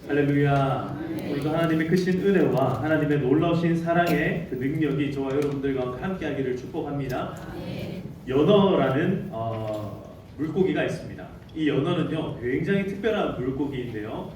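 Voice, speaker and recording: A fairly high-voiced man from Daegu preaches to his congregation, telling a story and asking them questions as he goes. His voice rings through a strongly echoing church hall, picked up from a distance with a faint hiss underneath. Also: lively intonation, moderate pace, darkish tone. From Daegu, South Korea. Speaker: pastor. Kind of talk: sermon. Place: church sanctuary. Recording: echoey sanctuary, distant mic.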